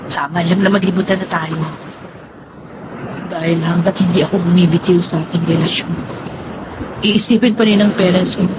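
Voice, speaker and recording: A woman's voice: fairly low pitch, fairly even intonation, moderate pace, pauses often, very noisy, close to an everyday voice. An actress with a Filipino accent playing a dignified, hurt young woman in a radio drama, speaking earnestly and solemnly. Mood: firm, hurt. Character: Veron, wounded, proud, dignified young woman in love. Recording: dull radio audio under loud music.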